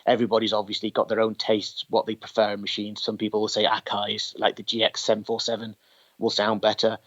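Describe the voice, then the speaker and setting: A man's voice: fairly low pitch, monotone, quick pace, fairly bright tone. A man chatting as an interview guest, explaining technical details at length. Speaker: podcast guest, tape machine collector. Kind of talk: conversational interview. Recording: dull, lo-fi sound.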